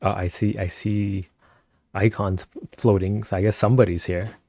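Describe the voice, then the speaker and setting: Soft-spoken man with a low voice. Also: level tone, normal speed, no echo. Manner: chatty, laughs often. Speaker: streamer. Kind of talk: casual live-stream chat with viewers. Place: home at night.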